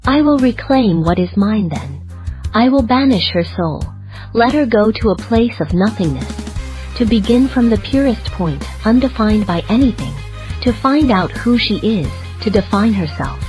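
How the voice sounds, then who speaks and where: A woman's voice: a little high, lively intonation, moderate pace, a little mellow, faint music behind, lightly acted. A woman voicing a resolute, merciful goddess, speaking in solemn declarations in an audio fantasy drama. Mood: solemn resolve. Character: resolute merciful goddess passing judgement on a rival. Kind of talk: dramatic audio story dialogue.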